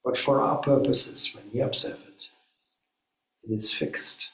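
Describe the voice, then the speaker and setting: A man leading a guided meditation as a spiritual teacher, speaking calmly in measured phrases with frequent pauses. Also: mid-range voice, vocal fry. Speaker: swami, guided meditation leader. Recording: echoey room.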